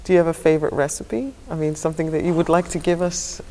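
A woman's voice: sits low, steady tone, fast talker, mellow, poor audio. A woman interviewing a guest for listeners, asking light, playful questions. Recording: faint ambient noise.

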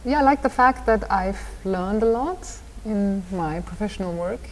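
A woman's voice: mid-range voice, animated, moderate pace. Austrian-accented woman with stop-start answers. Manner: hesitant, with frequent repeated words and restarts. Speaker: designer and design teacher. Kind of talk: conversational interview answers. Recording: reverberant room with faint ambient noise.

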